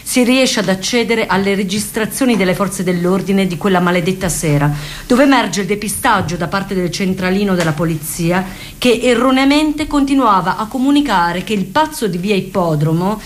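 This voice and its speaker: Female actor-narrator, mid-pitched voice, scripted delivery, documentary radio theatre. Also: animated, normal speed, slight background noise.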